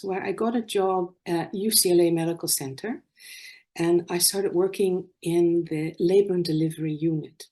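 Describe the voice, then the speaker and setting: A woman in her sixties with a Dutch accent gives a talk at an online conference. She tells her story earnestly and often restarts a phrase. Her voice sits a little low and comes through a video call. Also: mellow. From Netherlands. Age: 60s. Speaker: conference speaker, nurse.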